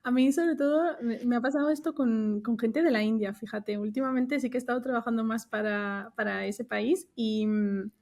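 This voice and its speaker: Higher-voiced woman chatting. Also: steady tone, normal speed, few pauses, darkish tone. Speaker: podcast guest, recruiter. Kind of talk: casual conversational anecdotes.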